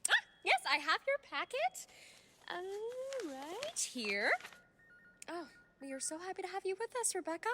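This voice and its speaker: High, bright, chirpy receptionist. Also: female voice, big pitch swings, measured pace, slight background noise, exaggerated voice. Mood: chirpy, eager. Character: chirpy, eager-to-please female receptionist. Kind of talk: radio drama acting.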